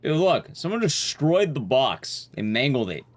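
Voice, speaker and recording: A man's voice: mid-range voice, very animated, a little slow. A man livestreaming a video game, giving casual gameplay commentary while chatting with his viewers.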